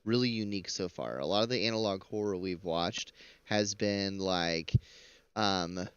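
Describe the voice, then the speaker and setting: Low-voiced man streaming, chatty and dry-sounding. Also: moderate pace, fairly bright tone, clear, resonant tone, no room sound, dull audio. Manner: rambling, chatty, jokey. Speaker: streamer and host of a horror reaction stream. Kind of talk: casual live stream commentary.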